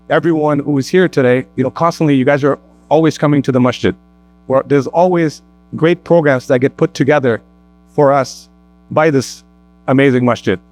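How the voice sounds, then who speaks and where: A man hosting a community talk show recorded at a mosque, speaking in an easygoing way with plenty of fillers. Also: on the high side. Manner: easygoing, warm introductions with fillers. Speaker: host.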